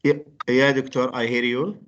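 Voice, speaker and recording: Young man, student, hesitant, mid pitch, lo-fi online call sound. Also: little pitch movement, moderate pace, fairly bright tone, a little hiss or hum. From Iraq. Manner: hesitant, many fillers. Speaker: university student. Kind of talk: casual talk in an online class.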